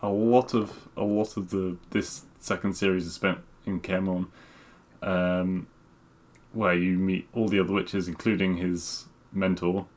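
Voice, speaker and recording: A man co-hosting a podcast in casual chat, talking in a halting, hesitant way. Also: sits low, little pitch movement. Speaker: podcast co-host.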